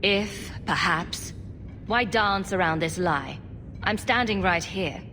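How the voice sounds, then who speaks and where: A woman playing a calm, diplomatic young mage adventurer in a video game, speaking in an earnest, measured way. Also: lowish voice, background noise. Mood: reproachful. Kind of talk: video game cutscene acting.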